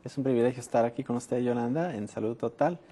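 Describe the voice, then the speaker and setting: A middle-aged man from Mexico, a public health doctor explaining in a studio interview, measured and pausing often with "eh". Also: medium pitch, dark tone. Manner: measured, frequent "eh" pauses.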